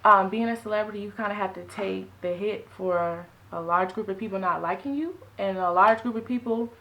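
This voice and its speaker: Bright-voiced woman chatting animatedly. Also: medium pitch, rough recording. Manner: animated, quick asides and self-corrections. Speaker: podcast co-host. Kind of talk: casual chat.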